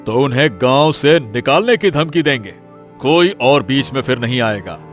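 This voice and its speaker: A man's voice: medium pitch, lively intonation, normal speed, faint music behind, close to an everyday voice. A man playing a greedy, scheming village strongman in a cartoon, commanding and threatening.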